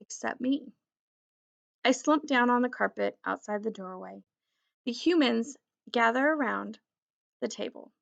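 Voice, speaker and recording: A woman reading a children's story aloud in an expressive, deliberate storytelling manner. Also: fairly high pitch, vocal fry, muffled recording. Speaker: children's book reader.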